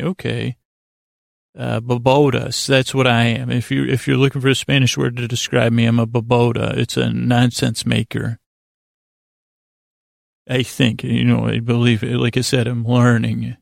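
Male bedtime podcast host: gravelly, even-toned, soothing, a hesitant and self-mocking rambler. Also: mid-range voice, dry recording, studio quality. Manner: meandering, halting, self-deprecating, repeats himself; lulling, soothing delivery.

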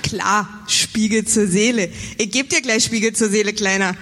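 A man's voice: high-pitched, fairly even intonation, normal speed, pushed and bright, in a large hall. A man playing a brash, crude, flirty woman driver in a comic radio play. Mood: teasing, brazen.

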